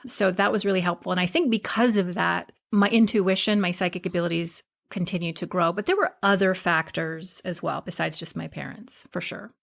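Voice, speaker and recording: A woman's voice: on the low side, fairly even intonation, brisk, creaky, hardly any pauses, a bit bright. A middle-aged woman from Hawaii, a guest on a podcast, telling candid stories about her life and often laughing as she talks. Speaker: podcast guest, spiritual teacher. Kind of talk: casual interview conversation.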